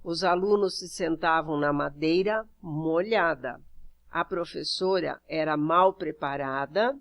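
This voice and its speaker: A woman teacher with a Brazilian Portuguese accent who reads out workbook questions and explains each answer step by step. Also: on the low side. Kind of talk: reading out and correcting a workbook exercise.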